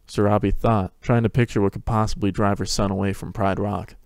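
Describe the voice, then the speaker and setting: A man's voice: fairly low pitch, little pitch movement, a little fast, a little mellow, no room sound, studio quality. A man reading scripted third-person narration for a story.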